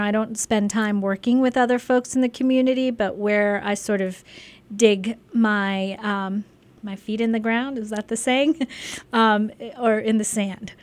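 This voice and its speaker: A middle-aged woman from Sri Lanka, a physician and volunteer, answering interview questions in an earnest, enthusiastic way. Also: a little high.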